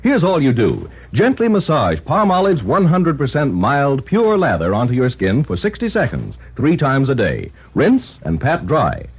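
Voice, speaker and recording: A man's voice: huge pitch swings, normal speed, hardly any pauses, husky. Emphatic male radio commercial announcer. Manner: emphatic, sing-song sales pitch. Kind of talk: 1950s radio commercial read. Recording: muffled old radio broadcast.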